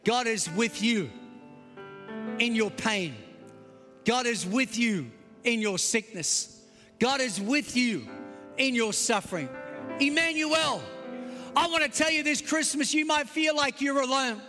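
Aussie pastor guy, high bright voice, soft music behind, big echoey church. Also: animated, slow, pauses often. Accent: Australian. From United States. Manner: emphatic, dramatic swings between loud and soft. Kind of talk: Christmas Eve sermon. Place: large church hall. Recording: long hall reverb.

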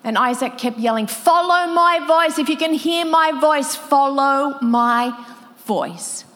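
Woman pastor, fairly high voice, emotional sermon storytelling, long hall reverb. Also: slow pace, fairly bright tone. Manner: emotional, quoting others with rising intensity.

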